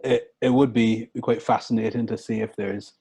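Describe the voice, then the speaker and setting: Low, husky man musing aloud. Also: flat delivery, moderate pace, warm tone. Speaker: co-host. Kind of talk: casual video chat.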